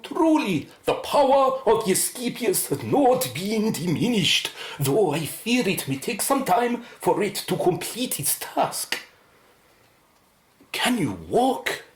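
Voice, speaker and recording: A woman acting in a radio drama as a proud, excitable medical scientist who speaks in a formal, precise, showy way. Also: mid-range voice, slow pace, roomy sound, noisy background. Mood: awed.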